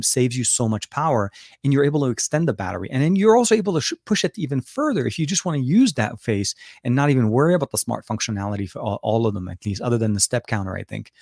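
A man's voice: medium pitch, darkish tone, dry recording, clean studio sound. A middle-aged man from Lebanon hosting a casual live Q&A, talking with many fillers and restarts and answering viewers' questions. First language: Arabic. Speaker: livestream host.

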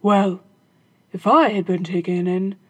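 A woman doing a loud, cross robber captain. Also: medium pitch, measured pace, husky, voice pushed down. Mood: boastful.

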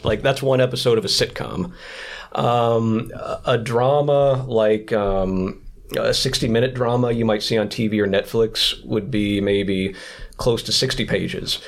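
A man's voice: lowish voice, steady tone, unhurried, gravelly. A man talking casually as an interview guest, explaining his creative work with enthusiasm. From expat living in South Korea. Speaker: podcast guest, screenwriter and audio drama creator.

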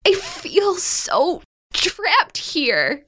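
A woman's voice: very high-pitched, wildly swooping pitch, very slow, a bit bright, heavily acted. A woman acting a dejected, self-critical young woman of twenty-one in a modern audio drama, dramatic and blunt. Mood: upset, despairing.

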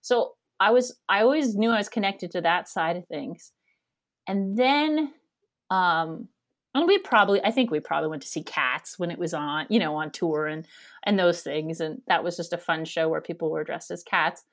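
A woman's voice: lowish voice, animated, normal speed. Chatty nasal-voiced woman. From Canada. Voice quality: nasal and loud voice. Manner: chatty, rambling, laughs often. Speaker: guest, theater education director. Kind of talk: casual interview conversation.

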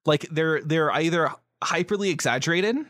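A man's voice: a little high, normal speed, a bit bright, no echo, studio quality. A man co-hosting a podcast in casual chat, enthusiastic and free with swearing. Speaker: podcast co-host.

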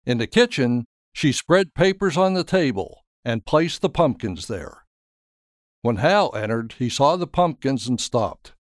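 Slow, expressive male storyteller. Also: mid-range voice, no echo, studio quality. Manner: measured, dramatic storytelling. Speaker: author and narrator. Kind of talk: scripted story narration.

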